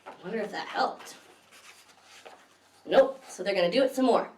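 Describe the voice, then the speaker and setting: A woman's voice: mid-range voice, moderate pace, slight background noise. A woman reading picture books aloud to young children as a library story time host, expressively, with questions to her listeners. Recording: echoey room, distant microphone.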